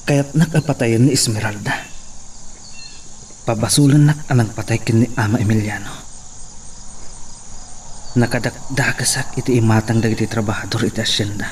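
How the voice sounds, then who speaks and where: A man's voice: medium pitch, fairly even intonation, normal speed, pauses often, close to an everyday voice. A man playing a troubled, weary young man in a radio drama, brooding and grumbling. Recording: faint background noise.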